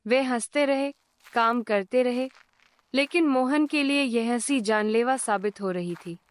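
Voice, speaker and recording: A woman tells a children's cartoon story in a slow, deliberate voice-over. Her voice sits a little high, and the recording is dry, with no room sound. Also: no room sound. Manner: calm, measured. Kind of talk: scripted storybook narration.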